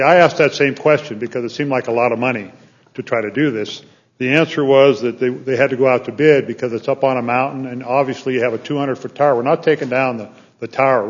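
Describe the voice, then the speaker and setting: A man speaking as a senator on a legislative floor, earnest and plain-spoken, often restarting his phrases. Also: medium pitch. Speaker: state senator. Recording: dull lo-fi chamber audio.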